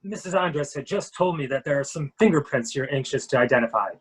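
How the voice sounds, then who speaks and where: A man's voice: mid-range voice, lively intonation, fairly quick, fairly bright tone, husky. A man playing a polite, apologetic army major in a murder mystery reading, courteous and slightly hesitant. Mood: sheepish, evasive.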